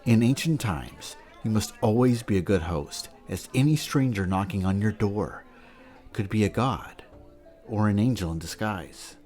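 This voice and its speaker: A man playing a learned character who patiently explains old myths and customs in a measured, didactic way, in an audio drama. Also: lowish voice, lively intonation, a little mellow, faint music behind. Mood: patient, instructive.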